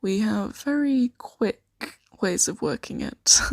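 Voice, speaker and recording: A woman improvising an ASMR roleplay as a kindly, earnest host of a fantasy sanctuary, speaking softly and reassuringly with some hesitation. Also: mid-range voice, expressive, takes it slowly, creaky, no echo, full character voice. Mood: welcoming, reassuring. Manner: soft-spoken, gently hesitant, reassuring.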